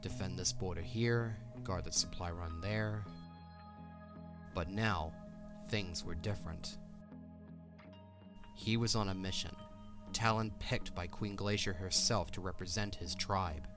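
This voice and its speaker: Deep-voiced male storyteller over music. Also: a little slow, long pauses. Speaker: narrator. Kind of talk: scripted audio drama storytelling narration.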